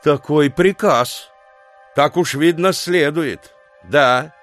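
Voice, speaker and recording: An actor playing an insolent, blunt doorman in an audio drama, speaking in an unhurried, folksy way. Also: a little high, a bit bright, soft background music. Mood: blunt, stolid. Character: insolent common hotel-and-mansion doorman, blunt and scornful. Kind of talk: theatrical audiobook drama acting.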